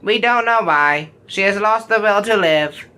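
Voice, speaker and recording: A man's voice: high-pitched, a little slow, sharp tone, smooth, pitched above the performer's own voice. A man voicing a grave, clinical medical robot in a parody audio play.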